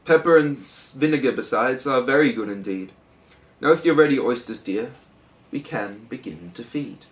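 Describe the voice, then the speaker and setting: A pompous storybook walrus acted out by a man declaims with grand, oily courtesy. His pitch is lifted a little above a medium male register, and the sound sits slightly away from the mic. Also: expressive, normal speed, roomy sound, recorded from a distance, lightly acted. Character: pompous, smooth-talking male walrus, falsely sympathetic. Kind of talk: storybook poem recital.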